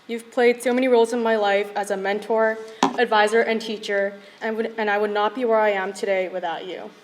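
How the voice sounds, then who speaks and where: A young woman in her late teens, a graduating student, giving a measured, reserved speech at a podium microphone. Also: mid-range voice, steady tone, a little hiss or hum, poor audio. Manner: soft-spoken, reserved, measured. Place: school event hall.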